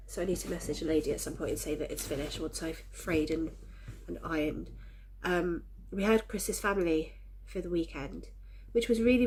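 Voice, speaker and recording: A woman's voice: on the low side, a little mellow, slight background noise, low-quality recording. A woman with a head cold chatting cheerfully and ramblingly to her viewers in a casual crafting vlog, a little congested and coughy. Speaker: crafting vlogger. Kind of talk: casual chat to camera.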